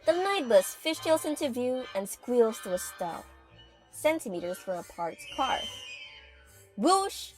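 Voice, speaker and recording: A woman's voice: fairly high pitch, very animated, unhurried. A woman giving vivid, dramatic scripted narration for an audio drama. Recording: music under the voice.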